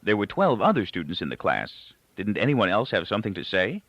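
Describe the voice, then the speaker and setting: A man's voice: on the low side, big pitch swings, a little fast, no echo. A man reading literary fiction aloud as a scripted narration. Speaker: audiobook reader. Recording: dull, lo-fi, close dry recording.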